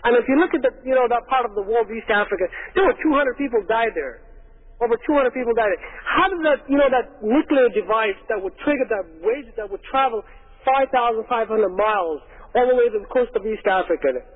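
A man from Somalia calling in to a radio talk show, asking questions in a halting way with many fillers and restarts. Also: extremely high pitch, animated, slightly rough. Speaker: caller. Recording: tinny phone line with long reverb.

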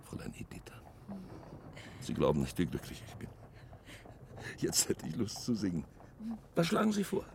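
A male actor in a German radio play, playing a jovial, sentimental man who spins anecdotes in an animated, drawn-out way. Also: sits low, a little hiss or hum, exaggerated voice. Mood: tender, earnest.

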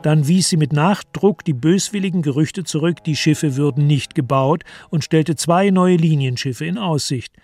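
Measured male narrator, slightly high-pitched. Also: a little mellow, faint music behind. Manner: measured, deliberate storytelling. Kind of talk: scripted literary narration in a German radio play.

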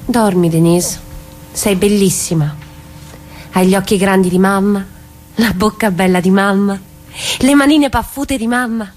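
A woman's voice: mid-range voice, a little slow, pauses often, darkish tone, natural voice. A woman playing a dreamy, tender young woman in an intimate, naturalistic radio drama reading. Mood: tender, soothing. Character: Lea, dreamy, tender young southern Italian woman, sister and mother.

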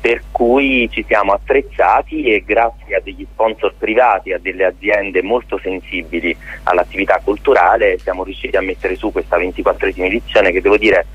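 A man speaking as a guest in an informal radio interview, explaining as the organiser of a theatre festival, with a husky voice. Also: deep voice, fairly even intonation, a little fast, dull audio.

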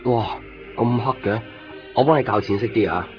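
An actor playing an easygoing, kindly young shopkeeper and narrator in a radio drama, with a Hong Kong Cantonese accent. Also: male voice, mid-range voice, moderate pace, soft background music, natural voice. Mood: easygoing, concerned. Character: easygoing, kindly young male copy shop keeper, narrator. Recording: muffled 1990s radio drama recording.

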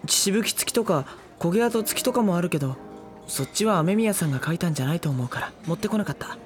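A man playing a gentle, exasperated, self-deprecating high school boy in a Japanese anime audio drama. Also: animated, quick pace, soft background music. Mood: wry, complaining.

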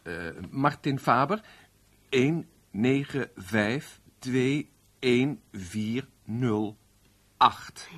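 An actor playing an anxious, irritable middle-aged carpenter in a radio play, halting and gruff. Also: male voice, medium pitch, fairly bright tone, full character voice. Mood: nervous submissive. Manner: hesitant, stammering, gruff when challenged. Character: unemployed carpenter over forty, irritable and anxious husband. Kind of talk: radio drama acting.

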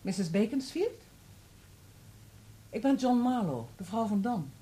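An actress playing a polite, determined wife in a Dutch radio play, husky and purposeful. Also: female voice, fairly high pitch, expressive, measured pace, warm tone. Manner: courteous, purposeful. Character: polite, determined wife seeking help for husband.

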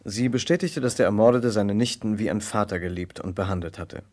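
An actor playing a composed first-person narrator of a murder inquiry in a vintage German radio crime drama. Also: male voice, on the low side, fairly quick, a little mellow, natural voice.

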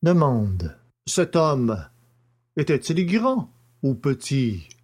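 Slow, expressive man reading interrogation questions. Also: mid-range voice. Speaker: reader of magistrate's questions. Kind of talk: deliberate dramatised audiobook reading.

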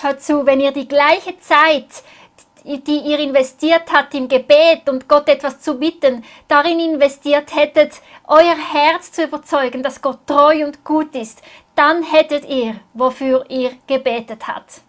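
A woman's voice: sits high, steady tone, unhurried. A woman interpreting a sermon phrase by phrase, speaking earnestly and closely following the preacher.

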